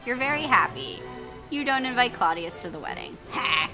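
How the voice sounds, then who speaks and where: A woman hosting a let's play, reading story text aloud in a playful, expressive way with exclamations and casual asides. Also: medium pitch, normal speed, poor audio. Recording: music behind the voice.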